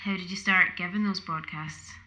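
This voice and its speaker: An actress playing a bold, teasing young poet in an audio play, with a wry, direct manner. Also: female voice, lowish voice, steady tone, normal speed, sharp tone. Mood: challenging.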